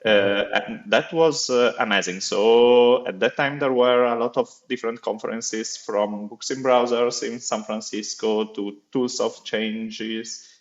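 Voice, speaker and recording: A man's voice: medium pitch, fairly even intonation, unhurried, lo-fi sound. A man in his thirties with an Italian accent, speaking English as a second language as a podcast guest, hesitant with many filler sounds. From Italy. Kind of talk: interview conversation.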